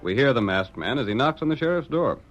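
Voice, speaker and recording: Dramatic male narrator, lively, muffled 1938 radio. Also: medium pitch, fast talker. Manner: dramatic, animated. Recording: muffled old radio recording with music.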